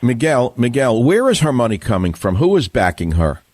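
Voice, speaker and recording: A man's voice: mid-range voice, very animated, a little fast, a little mellow. An older man with a New York accent hosting a radio call-in interview, asking combative, blunt questions with rising emphasis. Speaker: radio talk show host.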